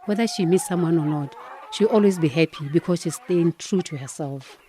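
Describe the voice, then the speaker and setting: Woman playing mellow, wise old grandmother. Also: lowish voice, a little fast, slight background noise, close to an everyday voice. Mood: gentle wisdom. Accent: southern African. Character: wise, reassuring elderly grandmother, patient, supportive. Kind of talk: radio drama acting.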